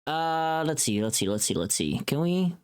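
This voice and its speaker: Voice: medium pitch, sing-song, normal speed, smooth. A livestreamer chatting casually and thinking aloud, playful and rambling, with some unfiltered swearing. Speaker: livestreamer and voice pack creator.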